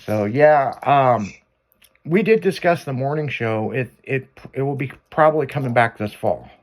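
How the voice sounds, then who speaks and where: A man's voice: mid-range voice, animated, moderate pace, a little hiss or hum. A man hosting a radio show, chatting casually, rambling and swearing, trailing off with fillers. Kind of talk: casual on-air chat.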